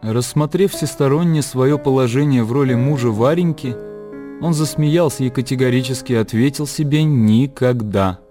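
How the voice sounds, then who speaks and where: A man narrating a literary radio drama in a measured storytelling manner. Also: mid-range voice, mellow, faint music behind.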